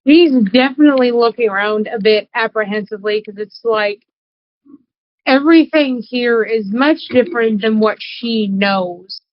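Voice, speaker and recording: A woman's voice: on the high side, normal speed, no echo, lo-fi sound. A woman talking casually as a player in a tabletop game.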